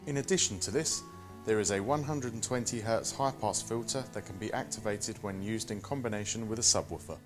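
A man in his thirties presenting a scripted product walk-through in a measured, instructional manner. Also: on the low side, music in the background.

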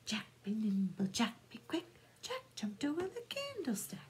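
A middle-aged woman reading bedtime nursery rhymes aloud to young children in a soft, singsong, drawn-out way. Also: fairly high pitch, wildly swooping pitch. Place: small room at home, indoors. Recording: noticeable background room noise.

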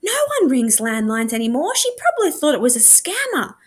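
A cheeky little boy of nine, voiced by a woman reader in a storybook. He pipes up in a high voice, matter-of-fact and protesting. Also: very animated, brisk, husky, heavily acted. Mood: helpful. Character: cheeky nine-year-old little brother.

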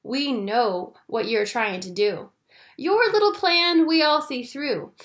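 A woman's voice: a little high, takes it slowly, a bit bright. A woman voicing an unyielding, suspicious queue of storybook animals in a firm, dismissive way. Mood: firm refusal. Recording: echoey room.

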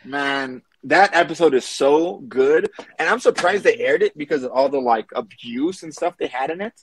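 A man's voice: a little high, expressive, normal speed, slight background noise, low-quality recording. A young adult man talking casually as a guest on a comics podcast. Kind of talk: casual chat.

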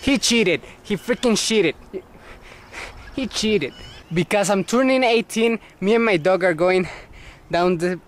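A young man in a casual outdoor vlog, rambling and joking into a camera mic. His voice sits a little high. Faint outdoor ambience hums behind him. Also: measured pace, pauses often, slight background noise. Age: young adult. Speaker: vlogger. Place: outdoor playground. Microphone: camera mic at arm's length.

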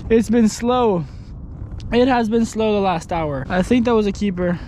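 A young man with a high, bright-pitched voice talks casually while fishing from a kayak, often bursting into excited exclamations. He is recorded outdoors by a camera mounted on the boat, with a faint hiss of wind and water behind him. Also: moderate pace. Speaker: kayak angler. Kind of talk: casual fishing vlog commentary. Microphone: action camera mounted on the kayak.

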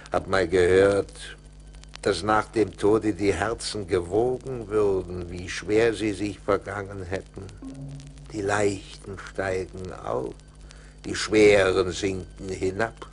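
An actor playing a callous, cynical rich man in a radio play, grim and deliberate. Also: lowish voice, creaky. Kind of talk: 1980s German radio play acting. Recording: faint hiss of old vinyl record.

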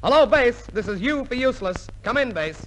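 A man playing a hapless, excitable, melodramatic schemer in a 1950s radio comedy. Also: very high-pitched, a little fast. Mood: eager. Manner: exasperated, melodramatic. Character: Jack, hapless excitable schemer turned amateur pilot. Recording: noisy old 1950s radio recording.

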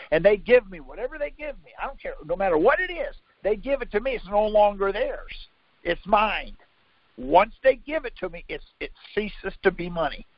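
High-pitched man, insistent quizzing teacher, informal, contradicts bluntly, muffled dry conference call audio. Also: dry recording. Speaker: conference call leader teaching legal theory. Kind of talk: informal instruction by questions. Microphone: conference call line.

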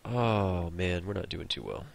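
A man's voice: deep voice, very animated, measured pace, vocal fry, slight background noise. A young man hosting a casual co-op gameplay session, rambling and joking with mild swearing. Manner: rambling, joking, mildly profane. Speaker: gaming channel host.

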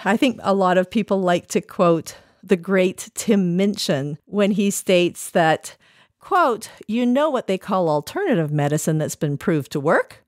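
A woman hosts a podcast interview with lively, varied intonation. She sounds conversational and prepared. The recording is dry and free of room sound. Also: fairly low pitch, measured pace, no echo. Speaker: podcast segment host, interviewer. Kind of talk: conversational interview with read-out passages.

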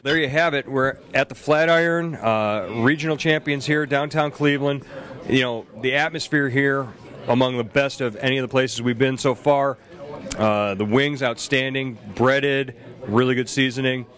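A man, hosting a casual food interview on a handheld mic in a pub, relaxed and chatty with lots of fillers. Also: mid-range voice, normal speed. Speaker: food show host. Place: pub dining room, indoors.

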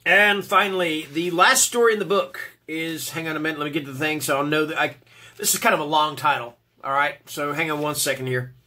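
Congested man with a bright, high voice. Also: steady tone, brisk. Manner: rambling, many fillers and restarts. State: congested, stuffy nose. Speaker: book reviewer, channel host. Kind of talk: casual spoken book review. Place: small dim home room, seated indoors.

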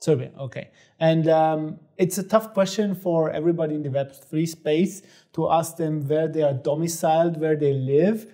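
A middle-aged man hosting a studio interview, asking his guest questions in a friendly, slightly halting way on a lapel mic. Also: a little high, fairly even intonation, warm tone. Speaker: host. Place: studio set with armchairs.

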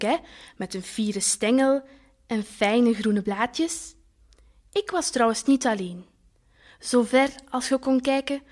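A woman playing a naive, wistful talking flower in an audio drama, with a Flemish accent and expressive colloquial storytelling. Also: on the high side. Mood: wistful, tender. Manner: colloquial, expressive, lingering over words.

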